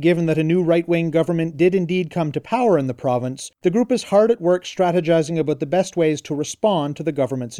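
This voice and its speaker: Casting note: male radio host, mellow, slightly high voice, dry studio sound. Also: no echo. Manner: measured, reading an introduction. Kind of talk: scripted narration.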